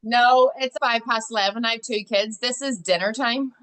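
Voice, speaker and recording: A woman's voice: a little high, steady tone, normal speed, a bit bright, poor audio. A woman in her thirties with a Derry accent, a comedian guest chatting and acting out little stories. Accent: Northern Irish, Derry. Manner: chatty storyteller, acts out quotes. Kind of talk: casual podcast chat.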